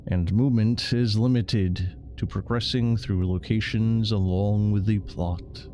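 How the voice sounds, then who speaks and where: A man's voice: lowish voice, steady tone, measured pace, mellow, slight background noise. A man doing casual game stream commentary in a rambling, sardonic, sweary way. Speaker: livestreamer.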